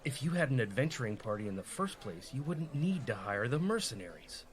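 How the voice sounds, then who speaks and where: Male actor as sensible rogue, radio comedy straight man, skeptical, dry, exasperated, medium pitch. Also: big pitch swings, a little fast, natural voice. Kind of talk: comedy radio play acting.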